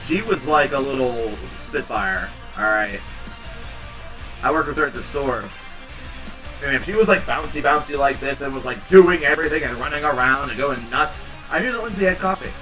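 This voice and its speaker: A man in his thirties hosting a home livestream, chatting casually with viewers in a rambling, low-key, tired way. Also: animated, moderate pace, distant mic, music in the background, rough recording. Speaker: livestream host reading chat. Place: small home room indoors. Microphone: headset.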